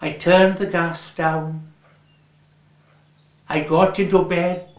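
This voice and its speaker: A man with a Welsh accent reading a story aloud, measured and lingering on his words. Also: fairly high pitch, flat delivery. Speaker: reader. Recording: roomy echo.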